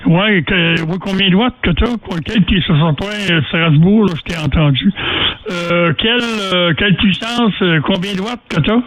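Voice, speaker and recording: High-pitched Quebec French man on ham radio. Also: normal speed, crowd noise, poor audio. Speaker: amateur radio operator leading a group contact. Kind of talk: casual radio chat.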